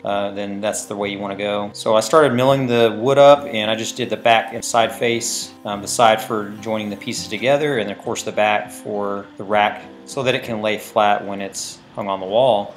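A man in his thirties, host of a woodworking channel, explaining a build casually with plenty of fillers, recorded on a lapel mic in his workshop. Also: fairly low pitch. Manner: relaxed, plain-spoken, many fillers. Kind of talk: casual how-to narration. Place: woodworking shop indoors.

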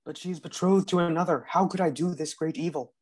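A man's voice: fairly high pitch, quick pace, darkish tone, natural voice. A young man playing an indecisive, lovesick young master, speaking in an earnest, declamatory way in a play reading. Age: young adult. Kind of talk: staged reading of a comic play. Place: room at home. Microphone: earphone headset on a video call.

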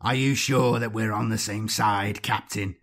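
A man's voice: lowish voice, fairly even intonation, measured pace, a bit bright. A man doing a ruthless suspicious inquisitor. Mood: accusing.

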